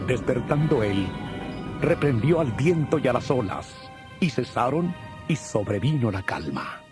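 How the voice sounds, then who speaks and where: A man delivers scripted scripture narration in a middle register. He tells the story with solemn, measured phrasing. Faint music plays behind his voice. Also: medium pitch, sing-song, a little mellow. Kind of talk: scripted narration of dramatized audio Bible.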